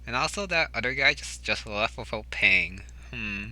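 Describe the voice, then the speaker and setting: A man's voice: on the low side, animated, moderate pace, bright, forceful tone, rough recording. A man giving casual commentary as he plays a video game. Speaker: video game player commenting on his playthrough. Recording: game music clearly behind the voice.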